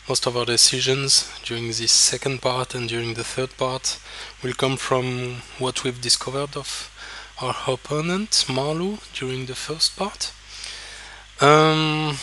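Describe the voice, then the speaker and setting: A man with a French accent, a native French speaker, coaching poker as he explains his hands over a recorded session. He talks in a halting way and repeats words while he thinks aloud. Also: mid-range voice, sharp tone, a little hiss or hum, rough recording.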